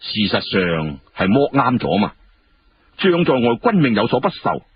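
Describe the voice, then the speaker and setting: A man voicing an imperious, sharp-tongued emperor with an emphatic, questioning delivery in historical storytelling. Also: mid-range voice, sing-song, unhurried, exaggerated voice. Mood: firm, conciliatory. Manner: indignant rhetorical questioning, emphatic. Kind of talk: Cantonese historical storytelling dialogue. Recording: muffled, clearly noisy radio-like recording.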